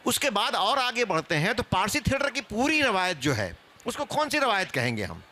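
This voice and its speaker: A man's voice: high-pitched, huge pitch swings, normal speed, sharp tone. A man speaking as a panelist in a discussion, explaining in a scholarly way. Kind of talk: spoken panel discussion on theatre history.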